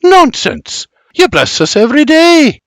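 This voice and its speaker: A man's voice: a little high, expressive, measured pace, fairly bright tone, no echo, clean studio sound, pitched above the performer's own voice. A man doing a teasing bearded farmer. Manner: barking, teasing. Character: bearded Scottish farmer father, gruff and teasing.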